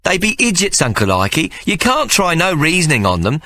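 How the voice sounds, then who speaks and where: A man voicing a scrappy small boy who talks indignantly in rough street grammar. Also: fairly high pitch, huge pitch swings, fairly quick, pushed and bright, pitched above the performer's own voice, heavily acted.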